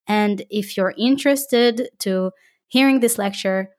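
A woman's voice: mid-range voice, unhurried, a little mellow. A woman with an Israeli accent hosting a podcast, effusive and encouraging as she thanks her guest and reads her outro. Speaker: podcast host. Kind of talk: warm interview wrap-up and scripted outro.

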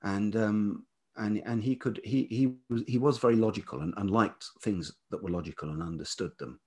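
Low-voiced, husky older man, thoughtful. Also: a little mellow. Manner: hesitant, halting, thoughtful. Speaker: podcast guest, writer and musician. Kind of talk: reflective personal storytelling in an interview.

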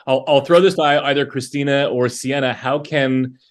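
A man's voice: medium pitch, fairly even intonation, moderate pace. A man hosting an interview, putting questions to guests in a conversational way. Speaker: interviewer, host.

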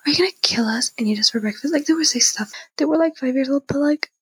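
A nineteen-year-old woman with a husky, breathy voice tells a personal story in a casual, candid, youthful way, repeating phrases for emphasis. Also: sits high, fast, dry recording. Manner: youthful conversational, emphatic repetition.

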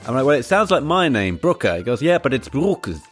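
A man's voice: medium pitch, very animated, fairly quick. Chatty male host over faint music. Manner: chatty, joking. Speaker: podcast host. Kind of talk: scripted show intro.

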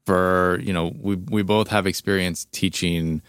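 A man's voice: low voice, steady tone, unhurried, fairly bright tone, clear, resonant tone, no echo, clean studio sound. A man interviewing a guest, a ukulele teacher himself, asking long, thoughtful, winding questions.

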